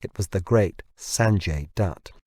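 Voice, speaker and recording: Male narrator for fiction audiobook: lowish pitch, close, dry recording. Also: takes it slowly, warm tone, no room sound. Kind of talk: scripted narration of a science fiction novel.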